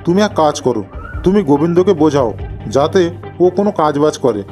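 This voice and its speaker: An older village patriarch acted by a man in a cartoon folk tale. His voice sits fairly high for a man and he advises in a measured, paternal way, with light music behind him. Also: expressive, mellow, natural voice. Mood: calm, concerned. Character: older village father and sage-like holy man, calm, advising. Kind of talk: Bengali cartoon moral-tale character acting.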